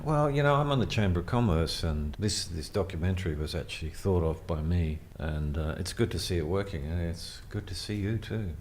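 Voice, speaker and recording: An actor with an Australian accent playing a boastful, flirtatious real estate developer in a mockumentary interview. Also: male voice, low-pitched, lively intonation, fairly quick, hardly any pauses, a little mellow, slight background noise. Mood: smug, friendly.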